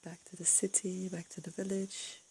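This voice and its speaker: A woman leading a guided meditation, giving calm, unscripted instructions in a soothing, breathy way with gentle pauses. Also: on the low side, a little hiss or hum, poor audio. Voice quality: breathy, husky, creaky.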